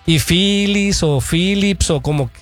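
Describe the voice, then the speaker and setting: A man co-hosts a casual sports talk show, reading viewer messages aloud and backing up his partner with steady agreement. His voice sits slightly high. A faint music bed runs underneath. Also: takes it slowly. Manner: agreeable, frequent back-channel "ujú".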